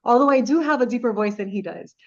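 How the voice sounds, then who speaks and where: A woman's voice: a little high, lively intonation, fast, gravelly, darkish tone, dull audio. A woman who hosts her own radio show, explaining things step by step in a chatty, playful way during a casual talk. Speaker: radio host. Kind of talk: casual chat.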